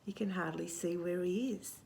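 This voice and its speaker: A woman reading a picture book aloud to young children as a storytime host, expressive, with hushed asides and questions to the listener. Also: fairly low pitch, creaky. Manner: animated, expressive, asks questions and pauses for answers.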